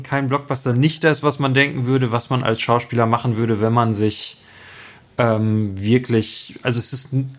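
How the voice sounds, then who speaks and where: Ein Mann als Co-Host eines deutschen Filmpodcasts, der in lockerem Gesprächston mit vielen Füllwörtern und Neuansätzen Hintergründe zu einem Film erklärt. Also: male voice, mid-range voice, fairly bright tone. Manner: explains with many fillers, pauses and restarts. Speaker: podcast co-host. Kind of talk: casual film podcast chat.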